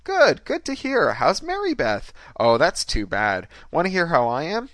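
A man's voice: on the high side, huge pitch swings, unhurried, fairly bright tone, no echo, clean studio sound, voice pushed up, full character voice. A man voicing a brash, strident American woman. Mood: breezy, scheming. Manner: strident, demanding. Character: angry, litigious young American woman, brash and scheming.

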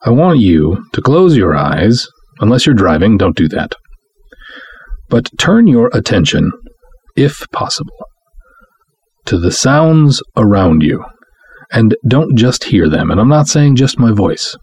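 A man hosting a podcast, addressing the listener directly in a deliberate, emphatic monologue with dramatic pauses. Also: on the low side, sing-song, a little mellow, husky, dry recording. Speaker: podcast host.

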